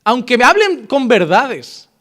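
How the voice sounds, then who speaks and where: A man from Spain preaching a sermon with a Spain Spanish accent, switching between forceful emphasis and quiet, earnest passages. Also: very high-pitched, big pitch swings, measured pace.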